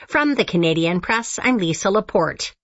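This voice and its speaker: A woman's voice: medium pitch, lively intonation, moderate pace, muffled recording, noisy background. A woman reading a scripted news bulletin as a radio newsreader. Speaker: news reader.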